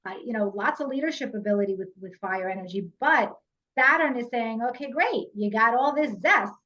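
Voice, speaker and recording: A woman hosts a podcast with a slightly high, animated voice and turns often to address her listeners. The audio is muffled and lo-fi. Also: fairly quick. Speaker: podcast host and astrologer. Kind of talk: casual conversation.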